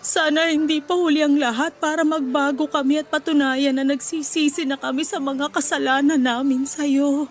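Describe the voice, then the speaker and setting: A woman's voice: high voice, steady tone, normal speed, soft background music, natural voice. A woman with a Filipino accent playing a remorseful, pleading mother in a radio drama. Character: remorseful mother pleading for her daughter's forgiveness.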